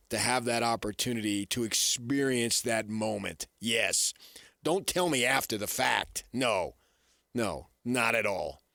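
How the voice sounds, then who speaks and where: A man's voice: medium pitch, a bit bright, dry recording. A man co-hosting a sports talk radio show in a casual, emphatic way, repeating his points and asking rhetorical questions on a close studio mic. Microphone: close studio broadcast mic.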